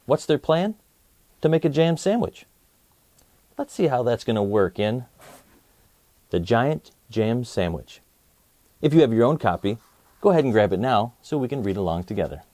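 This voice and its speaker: A man hosting a children's story channel, reading aloud in an expressive, rhythmic storytelling style. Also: medium pitch, normal speed, long pauses, no echo.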